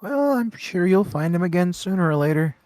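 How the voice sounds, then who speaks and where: A man's voice: sits high, expressive, a little fast, a little mellow, dry recording. A man voicing a shifty, evasive, teasing goat owner in an improvised comedic fan dub of a fantasy game, with stammering, repetitive delivery. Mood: dismissive, teasing. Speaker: amateur live fan-dub voice actor. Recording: background music under the voice.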